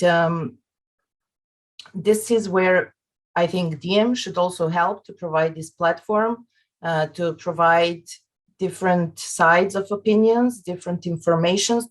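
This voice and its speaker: This is a woman from Serbia speaking on a discussion panel. She talks slowly in a fairly low voice, earnest and measured. She stops often to search for her words. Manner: measured and earnest, with frequent hesitations.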